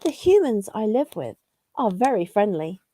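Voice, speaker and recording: A woman's voice: medium pitch, sing-song, normal speed, mellow. A woman voicing a friendly female kitten in a children's storybook, inviting and helpful.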